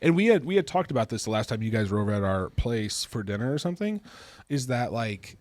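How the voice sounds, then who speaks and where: A man with a middle-range voice, co-hosting a podcast in a dry, close-miked recording. He talks in an animated way, breaking off and restarting his sentences. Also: mid-range voice, fast, no room sound. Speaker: podcast co-host. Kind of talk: casual chat.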